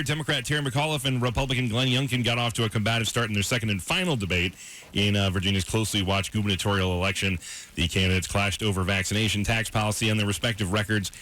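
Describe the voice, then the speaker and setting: An American man presents news on a radio show in a slightly low, bright, forceful voice. He moves quickly, explaining each item informally and loosely paraphrasing what he reads, as if chatting with a co-host. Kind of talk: conversational radio news rundown with co-host banter. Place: radio studio.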